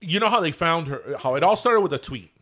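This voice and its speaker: A man's voice: fairly high pitch, a little fast. A man co-hosting a casual podcast chat, talking in a Cuban American accent and slipping Spanish phrases into his English. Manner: animated, drops into Spanish phrases. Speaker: podcast co-host.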